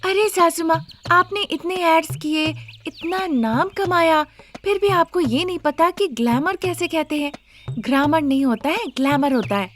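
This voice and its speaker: A woman's voice: very high-pitched, measured pace, fairly bright tone, a little hiss or hum, voice pushed up. A woman voicing an eager, persuasive young celebrity daughter-in-law in an emphatic, pleading way, in a story. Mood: teasing.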